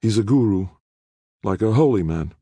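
Man acting a worried woman, voice lifted high. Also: expressive, moderate pace, warm tone, husky, no echo. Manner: anxious, insistent. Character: worried, frightened woman facing sentencing.

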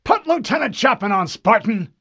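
A man's voice: very high voice, sing-song, normal speed, gravelly, slightly rough, voice pushed up, full character voice. A man voicing a barking vice admiral. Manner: barking orders, raised and forceful. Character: gruff older male vice admiral, imperious and impatient.

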